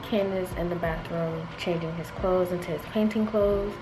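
A woman's voice: on the low side, steady tone, moderate pace, background noise. Chatty young woman vlogging home work. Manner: chatty and upbeat, explaining as she goes. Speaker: vlogger renovating her home. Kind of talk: casual vlog talk to camera. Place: empty room of a house being renovated. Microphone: handheld camera at arm's length.

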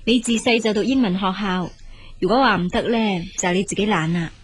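An actress playing a practical, dutiful elder sister in a Cantonese radio drama, frank, brisk and reassuring. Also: female voice, mid-range voice, breathy edge, lightly acted. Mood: reassuring, firm.

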